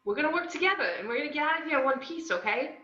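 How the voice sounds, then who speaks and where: A woman plays a brash, unhinged young antiheroine with a sharp, high voice. The delivery is playful and theatrical, swinging widely in pitch. It sounds like a roomy video call. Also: very fast, lo-fi sound. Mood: urgent, bossy. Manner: animated, theatrical. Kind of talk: amateur table reading of a film script. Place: home, on a group video call.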